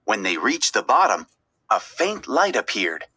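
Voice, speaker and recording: A woman reading a children's story aloud as an expressive, dramatic narrator. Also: rumbling low, a little slow, slightly rough. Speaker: storybook reader.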